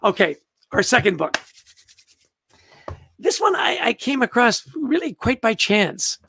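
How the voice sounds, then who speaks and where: Older male host, Canadian-born, high and gravelly, eager casual book-club chat, dry close sound. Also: sing-song, measured pace, a bit bright, slight background noise. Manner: enthusiastic, greets viewers by name.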